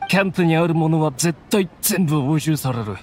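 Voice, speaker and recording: A man playing an earnest, resigned mercenary with a rough, plain-spoken manner in a Japanese anime game. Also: fairly high pitch, expressive, brisk, a little mellow, a little hiss or hum, close to an everyday voice.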